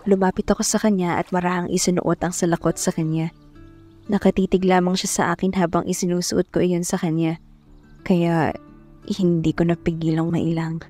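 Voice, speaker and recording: A woman's voice: lowish voice, flat delivery, moderate pace, warm tone, soft background music, close to an everyday voice. A young woman playing a shy, hardworking farm girl who narrates her own story in a radio drama, polite and earnest. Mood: earnest, reflective. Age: young adult. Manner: polite, hesitant when addressing others. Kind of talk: first-person radio drama narration and dialogue.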